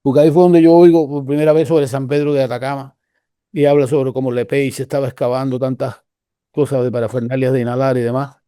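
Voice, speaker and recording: An older man from Cuba with a Cuban Spanish accent, telling stories in a relaxed, rambling way with many restarts. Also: medium pitch, fast talker, clear, resonant tone, no room sound, clean studio sound. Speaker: guest researcher. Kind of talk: casual conversational storytelling.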